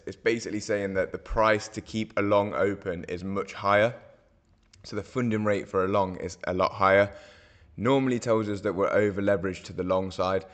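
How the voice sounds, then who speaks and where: A hoarse man, walking viewers through market charts in a casual, rambling way, full of fillers and self-corrections. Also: lowish voice, fairly bright tone. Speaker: crypto market analyst host.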